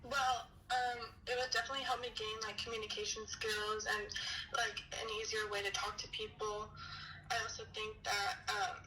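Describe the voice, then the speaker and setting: Hesitant young woman, bright but flat. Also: mid-range voice, moderate pace, recorded from a distance, slight background noise, rough recording. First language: German. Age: young adult. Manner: soft, hesitant, with many "um" and "like". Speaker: job applicant on a phone call.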